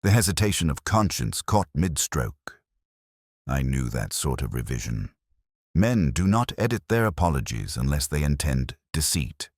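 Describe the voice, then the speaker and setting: A man playing a brooding, world-weary police inspector who narrates his case in a measured, sombre, wry literary style. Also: rumbling low, big pitch swings, a little mellow, no echo, studio quality, full character voice. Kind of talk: literary first-person detective drama narration.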